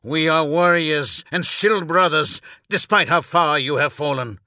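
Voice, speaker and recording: A man's voice: mid-range voice, sing-song, slow pace, breathy edge, no room sound, studio quality, heavily acted. A man voicing a proud, anguished captive warrior in an audiobook, intense and declamatory, full of defiant rage.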